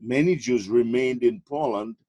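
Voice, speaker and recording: A man's voice: mid-range voice, moderate pace, warm tone, no echo, dull audio. A man interviewing a historian, speaking English as a non-native speaker and posing pointed, challenging questions with frequent fillers. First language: Russian or Ukrainian. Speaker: interviewer and host.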